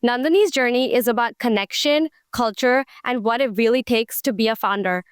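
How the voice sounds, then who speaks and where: A woman's voice: on the high side, normal speed, clear, resonant tone, dry recording, clean studio sound. A woman from Belgium hosting a podcast, asking questions with enthusiasm. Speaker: podcast host. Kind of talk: interview hosting.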